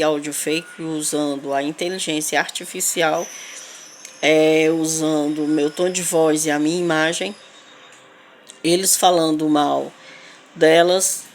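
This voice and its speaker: A woman with a Northeastern Brazilian accent making an unscripted spoken complaint, halting and repetitive, with emphatic insistence. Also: sits low. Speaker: local resident making a video appeal. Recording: clear background noise, ambient sound.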